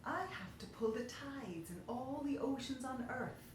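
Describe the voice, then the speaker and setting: Storybook moon father played by a woman reader: old, loving man, tender and unhurried, lilting, very echoey. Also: high-pitched, animated, background noise. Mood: proud.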